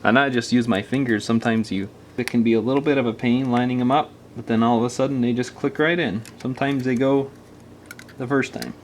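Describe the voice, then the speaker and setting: A man's voice: medium pitch, fairly even intonation, fairly quick, slight background noise. A man giving casual, step-by-step hands-on instructions as a repair tutorial presenter, patiently explaining in an informal, folksy way.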